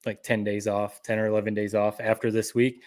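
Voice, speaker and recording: An easygoing man hosting a casual podcast. He restarts his sentences often and chuckles along, relaxed among friends. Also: fairly low pitch, a little fast. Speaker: podcast host. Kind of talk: casual chat.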